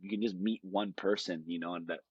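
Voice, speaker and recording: A man's voice: sits low, fairly even intonation, a little fast, husky, no echo, highs cut off. A man, telling stories in a rambling, easygoing way as a podcast guest. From Hawaii. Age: late 20s. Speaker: guest, jiu-jitsu fighter. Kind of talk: casual podcast conversation.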